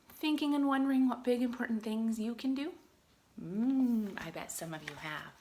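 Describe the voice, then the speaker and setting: Female storybook reader, teacherly, very animated and bright, with hushed asides to young listeners. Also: sits high. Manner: expressive, often drops into hushed asides. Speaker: teacher reading aloud to children. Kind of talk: picture-book read-aloud with asides.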